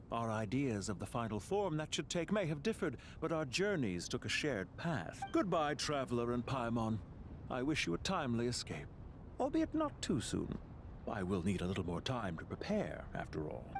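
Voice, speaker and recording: An actor playing a calm, courteous and condescending male mastermind villain in a video game, speaking in a measured, smug, polite way. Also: medium pitch, slight background noise, close to an everyday voice. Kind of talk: video game character acting.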